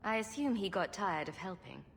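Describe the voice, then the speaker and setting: A woman's voice: mid-range voice, lively intonation, normal speed, slight background noise. An actress playing a wry, sarcastic female adventurer in a fantasy game, with a dry delivery. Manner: dry, sardonic. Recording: long reverb, clear background ambience.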